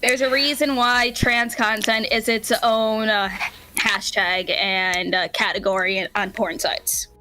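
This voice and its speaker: A woman's voice: mid-range voice, steady tone, slow, sharp tone, faint music behind, low-quality recording. A woman co-hosting a casual podcast, chatting frankly and building up to blunt comparisons. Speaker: podcast co-host.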